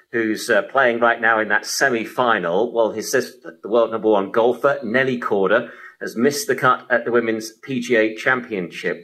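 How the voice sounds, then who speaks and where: A man's voice: fairly low pitch, fairly bright tone, breathy edge, low-quality recording. A man presenting a live sports radio programme, heard over a shortwave broadcast.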